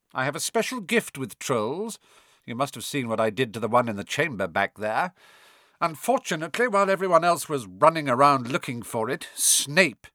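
A man's voice: a little high, sharp tone, no echo, studio quality, voice pushed up. A man voicing a cold, smug male villain in an audiobook, mocking and coolly casual in his menace. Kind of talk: audiobook character acting.

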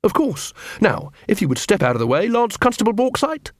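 A man acting an earnest, cheerful young watch corporal in an audiobook, polite, brisk and by the book. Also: high-pitched, big pitch swings, no room sound, clean studio sound, voice pushed up.

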